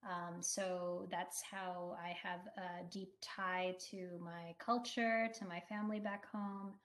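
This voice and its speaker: A woman's voice: lowish voice, flat delivery, takes it slowly. A woman who grew up in the United States, speaking as an interview guest in a friendly, articulate way with some fillers. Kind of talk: conversational interview.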